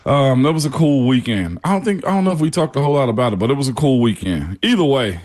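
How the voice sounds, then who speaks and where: A man's voice: medium pitch, animated, quick pace, a little mellow. A man in his forties co-hosting a sports radio show from home, laid-back and laughing often. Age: 40s. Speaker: co-host. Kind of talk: casual sports radio chat. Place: at home, remote.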